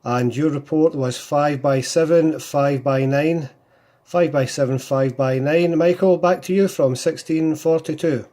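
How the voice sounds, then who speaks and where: A Scottish man speaks as a hobby radio operator in a casual contact. His voice sits a little high with a very mellow, soft-edged tone. He repeats phrases and leans on radio procedure words. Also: steady tone, unhurried. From Scotland.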